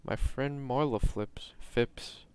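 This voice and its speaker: A man's voice: mid-range voice, slow, smooth. A young man from New York giving casual, rambling commentary while streaming a game, self-mocking and drifting off-topic. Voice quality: monotone.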